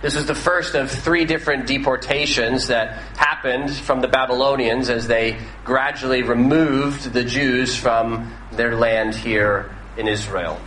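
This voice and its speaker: An American man in his thirties preaches in a relaxed, conversational way, often pausing on "uh" and restarting his sentences. His voice is bright and forward. It rings through a large, echoey hall with a faint noise floor. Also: medium pitch. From northwestern United States. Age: 30s. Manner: conversational, with frequent "uh" fillers and restarts. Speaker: pastor. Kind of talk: sermon.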